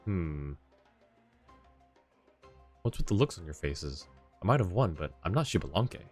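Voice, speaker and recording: A man's voice: sits low, very animated, a little slow, faint music behind. A man giving casual live commentary as a game streamer, close to the microphone.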